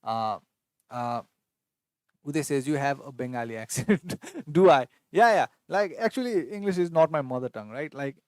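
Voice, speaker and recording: A man's voice: medium pitch, expressive, normal speed, darkish tone, no room sound. Hesitant man, dry close sound. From Nepal. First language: Newar. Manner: hesitant, many fillers, thinks aloud. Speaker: fantasy football livestream host. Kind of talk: casual live Q&A reading chat.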